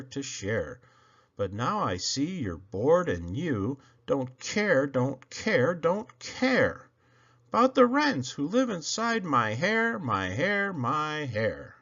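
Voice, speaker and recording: Male storybook reader, highish and hugely animated, playful theatrical emphasis, slightly muffled recording. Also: takes it slowly. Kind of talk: expressive children's poetry read-aloud.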